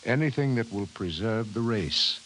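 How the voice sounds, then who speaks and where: An actor playing a grave, precise professor in 1950s radio drama style, formal and measured. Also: male voice, lowish voice, animated, fairly bright tone. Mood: somber, doubting. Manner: measured, formal, emphatic delivery. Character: grave, precise male scientist, a government professor. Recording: hissy 1950s radio recording.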